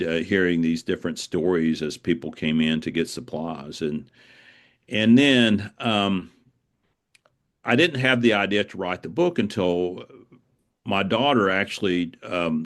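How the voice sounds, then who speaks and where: A middle-aged man speaking casually as an interview guest, explaining things in a halting way and repeating words. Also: low-pitched. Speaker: guest, author.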